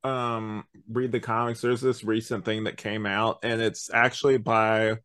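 Casting: male podcast host, lowish voice, casual reviewer, hesitant and rambling. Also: little pitch movement, measured pace, a bit bright, clear, resonant tone.

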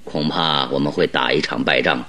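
Radio drama detective: very low voice, shrewd, exacting, deliberate and commanding. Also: male voice, fairly even intonation, sharp tone. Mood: grave, deliberating. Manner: deliberate, instructing, emphatic. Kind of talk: Mandarin radio drama acting.

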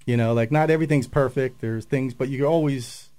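Mid-pitched man in his fifties. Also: a little fast, mellow. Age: 50s. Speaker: guest, artist. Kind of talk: casual chat. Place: radio studio.

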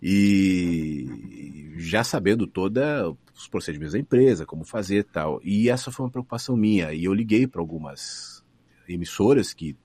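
A man's voice: deep voice, animated, moderate pace, dark tone, husky, no echo. A middle-aged radio announcer with a São Paulo accent, thoughtfully answering interview questions and often restarting his phrases. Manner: thoughtful, restarts phrases, rises and falls expressively.

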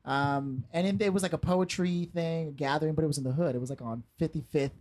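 A man's voice: fairly high pitch, fast talker, darkish tone. A man telling a casual story among friends, rambling and hesitant, full of fillers.

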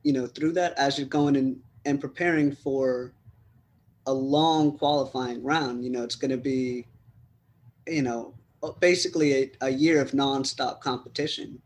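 A man's voice: medium pitch, fairly even intonation, a little slow. A man from the United States interviewing a footballer, asking long, rambling questions in a chatty way.

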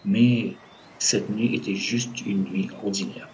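Low-pitched man narrating audio fiction. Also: steady tone, takes it slowly, a little mellow, dull audio, slight background noise. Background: music.

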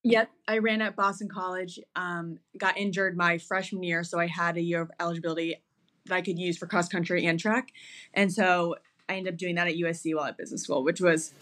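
A woman's voice: fairly low pitch, steady tone, a little fast, fairly bright tone. Chatty Florida-born woman in her thirties. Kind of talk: casual interview talk.

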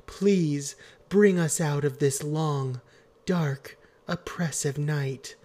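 A man voicing an earnest, gentle woman with a soft, grave manner, as an audiobook character. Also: fairly high pitch, very slow. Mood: grave, wondering. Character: earnest, gentle, sad woman amateur detective.

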